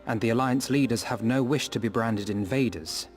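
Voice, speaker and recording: A man playing an earnest, eloquent young diplomat in a fantasy video game, speaking formally and with measured delivery. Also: mid-range voice, soft background music, natural voice. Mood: composed, earnest. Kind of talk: scripted fantasy game dialogue.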